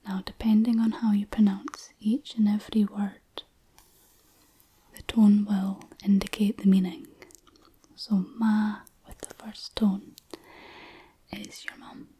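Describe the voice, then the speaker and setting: A woman gently giving a whispered ASMR language lesson as a tutor, unhurried and instructional. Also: mid-range voice, level tone, slightly rough.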